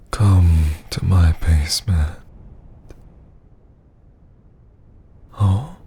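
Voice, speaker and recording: A man voicing a domineering, seductive vampire lord in an intimate ASMR roleplay, with a husky, often half-whispered voice and a teasing, condescending manner. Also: low-pitched, dark tone, exaggerated voice. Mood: possessive, menacing. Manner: slow, teasing, condescending drawl.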